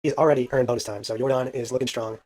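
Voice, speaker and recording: A man's voice: medium pitch, steady tone, racing, darkish tone. A man casually commentating a baseball video game, counting hits aloud and adding self-deprecating asides. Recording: faint background music.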